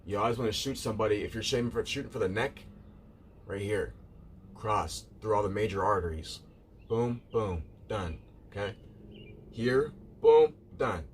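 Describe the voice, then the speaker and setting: A man's voice: lowish voice, moderate pace, a little hiss or hum. A man live streaming, chatting casually with viewers in a rambling way, repeating himself and calling them "bro".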